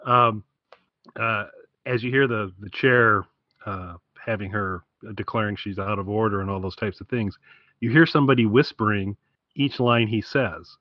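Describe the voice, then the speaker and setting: A man's voice: on the low side, fairly even intonation, moderate pace, no echo, lo-fi sound. A man co-hosting a podcast, chatting casually with an amused, wry, conversational manner. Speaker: podcast co-host.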